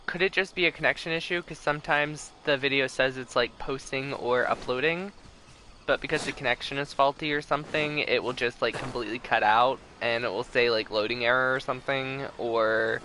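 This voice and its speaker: A man chats casually while livestreaming a video game. His voice is bright and mid-pitched, and the home recording carries faint noise and game sound. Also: fairly even intonation, normal speed. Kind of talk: casual stream chat. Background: video game audio.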